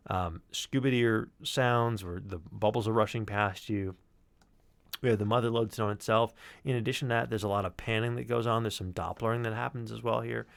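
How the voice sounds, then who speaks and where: A man giving a casual technical walkthrough as a sound designer on a livestream, thinking aloud haltingly and swearing now and then. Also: fairly low pitch, gravelly, no room sound. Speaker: sound designer presenting work.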